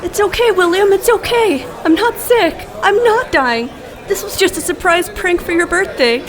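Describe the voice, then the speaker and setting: Lady doing a playful sweet wife in sketch comedy, really high n lilting, crowd noise in back. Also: lively intonation, normal speed. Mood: sweet, teasing. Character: sweet, teasing wife who pranks her husband. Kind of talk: comedy audio sketch acting.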